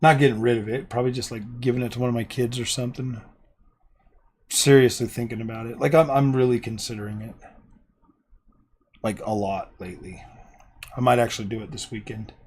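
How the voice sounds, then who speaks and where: A middle-aged man hosting a casual live stream from his home gaming room, rambling and indecisive, his voice going hoarse. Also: medium pitch, fairly even intonation, fairly quick, pauses often, darkish tone. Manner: rambling and indecisive, repeats himself. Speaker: livestream host.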